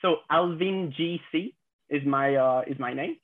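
A man in his twenties with a French accent, a podcast guest telling his story in a halting way, with many fillers and restarts. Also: on the high side, phone-quality sound. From France. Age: 20s. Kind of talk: casual interview storytelling.

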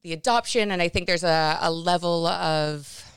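A woman's voice: fairly low pitch, normal speed, clear, resonant tone, no room sound. A woman from California hosting a podcast interview, chatty and upbeat with frequent restarts. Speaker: podcast host.

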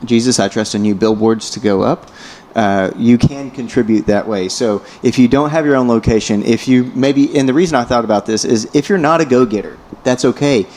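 A man's voice: mid-range voice, a little fast, few pauses, a little mellow, slight background noise. A man co-hosting a casual chat show, explaining things step by step with wry jokes. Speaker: podcast co-host.

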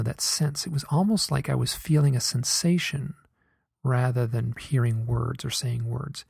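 A man speaking in a hesitant, soft-spoken and earnest way, reflecting on a personal experience in a monologue. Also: mid-range voice, gravelly, slightly rough, dry recording, studio quality. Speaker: podcaster recounting a hypnosis session.

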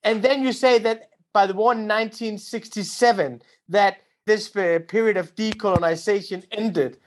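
Thoughtful academic interviewer, halting phrasing. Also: medium pitch, fairly even intonation, slight background noise. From Mauritania. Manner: thoughtful, hesitant, repeats words.

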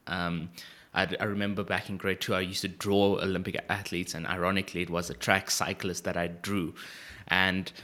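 Effusive, low-voiced male podcast host. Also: normal speed. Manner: effusive, flattering, with hesitations. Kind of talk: scripted intro then casual interview.

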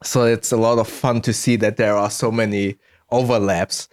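A man's voice: lowish voice, fairly even intonation, moderate pace. A man raised in Germany, hosting a podcast and asking questions in a thoughtful, hesitant way with many fillers. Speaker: podcast host. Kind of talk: casual conversation.

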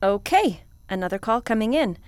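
Woman acting as brisk space station commander taking calls, questioning, formal, sci-fi audio drama, very dry sound. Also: mid-range voice, expressive, clean tone, no room sound, lightly acted. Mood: composed, friendly. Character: brisk, skeptical female space commander hosting call-in archive.

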